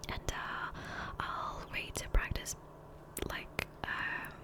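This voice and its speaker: A woman whispering softly and breathily in a casual, rambling ASMR gameplay chat, close to the microphone. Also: slow pace. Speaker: ASMR video host. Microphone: close USB condenser microphone.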